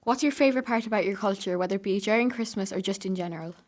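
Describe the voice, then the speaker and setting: A woman's voice: mid-range voice, rapid-fire. A teenage young woman hosting an interview show with prepared questions. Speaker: show host.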